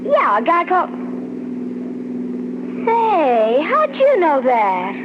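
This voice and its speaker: A high, very animated young woman's voice on a hissy old radio thriller recording. She is a plucky hitchhiker, slangy and forthright, chatting in a streetwise way. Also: slow pace, highs cut off, heavily acted. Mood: surprised, curious. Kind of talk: 1940s radio drama acting.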